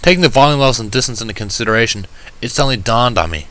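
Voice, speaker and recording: A man's voice: medium pitch, expressive, racing, pushed and bright. A man reading a first-person story aloud in a wry, conversational way. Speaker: audiobook reader of fan fiction.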